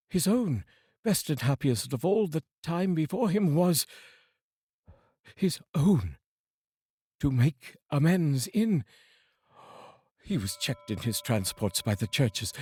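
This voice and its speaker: A man with a British accent reading a classic novel aloud as narrator, dramatic and expressive. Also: on the high side, slightly rough, no echo, clean studio sound.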